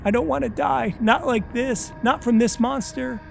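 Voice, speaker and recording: A man voicing a terrified male captive, panting and shouting desperate pleas, over faint background music. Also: very high voice, steady tone, moderate pace, vocal fry, a little hiss or hum, pitched above the performer's own voice, full character voice. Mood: pleading, shouting.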